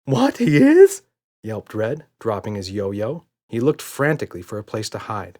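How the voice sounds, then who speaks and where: A man narrates a scripted children's audiobook. His voice is fairly low in pitch. The reading is expressive, with wide rises and falls as he tells the tale. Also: moderate pace.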